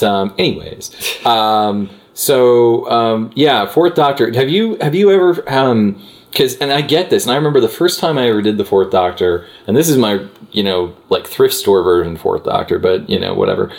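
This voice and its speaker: A man in casual conversation, talking in a chatty way with animated asides and many fillers. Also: fairly low pitch, moderate pace, vocal fry. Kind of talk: casual chat.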